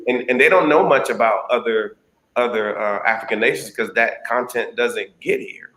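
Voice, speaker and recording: A man from the United States answering interview questions in a relaxed, conversational way over a video call. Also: mid-range voice, moderate pace, echoey room, low-quality recording. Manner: relaxed, conversational, many fillers. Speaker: guest.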